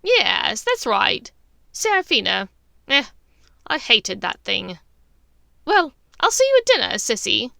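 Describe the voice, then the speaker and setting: Woman acting a loud, scornful villainous witch. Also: on the high side, wildly swooping pitch, slow, vocal fry, pauses often, dry recording, clean studio sound, full character voice. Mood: scornful, casual. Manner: pointed, drawling, falsely casual. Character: brash, suspicious, scornful villainous witch, foul-mouthed.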